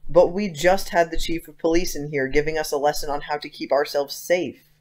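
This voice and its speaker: An actor playing an earnest, persistent teenage schoolboy with casual, youthful phrasing in an audio drama. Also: male voice, on the high side, fairly even intonation, a little fast, a little mellow, lightly acted. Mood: earnest, insistent.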